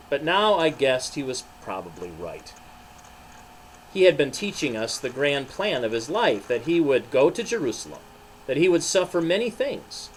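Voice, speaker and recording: A man's voice: fairly high pitch, voice pushed up, poor audio. An anguished male disciple confesses his shame in a storybook tale, voiced by a man reading aloud. His voice is lifted and emphatic. A light noise sits behind it.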